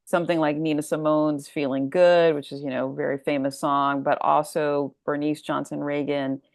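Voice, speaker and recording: American woman guest chatting about her writing, deep-ish gravelly creak, really dry close sound, thinks out loud. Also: little pitch movement, normal speed, no room sound. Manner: thoughtful, discursive, thinking aloud. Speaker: guest author discussing her story collection. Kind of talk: casual interview talk.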